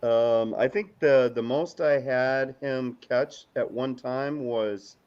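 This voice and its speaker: A man's voice: mid-range voice, slow pace, a little mellow, clear, resonant tone. A man in easygoing, playful casual chat on a livestream, heard through dull lo-fi audio.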